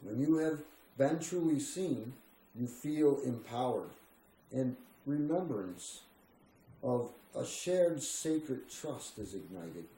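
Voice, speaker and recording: A man reads spiritual writing slowly and solemnly, leaving deliberate pauses between phrases. His voice is mellow, and the room adds a noticeable echo. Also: medium pitch, expressive. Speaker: reader. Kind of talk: slow spiritual reading of a written text. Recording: roomy echo with faint background noise.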